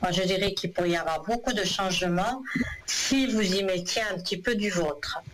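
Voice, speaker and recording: A man's voice: high-pitched, normal speed, noisy background. A man reading a caller's future on a phone-in show, reassuring and full of hesitant fillers. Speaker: clairvoyant phone-in host.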